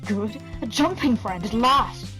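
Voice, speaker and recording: Woman acting Jumping Jack, a high-voiced puppet boy. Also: expressive, unhurried, music in the background. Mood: upbeat. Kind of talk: children's puppet play acting.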